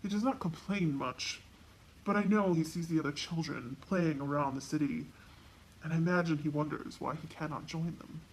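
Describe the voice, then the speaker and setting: A woman voicing a kindly, troubled king and father in measured, weighty storybook dialogue. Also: low-pitched, slightly rough, low-quality recording. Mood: concerned.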